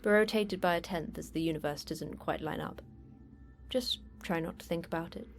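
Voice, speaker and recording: An actress playing a terse, unflappable manager of a secret facility in an audio drama, with a brisk, dry delivery. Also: female voice, fairly low pitch, creaky, soft background music. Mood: dry, deadpan.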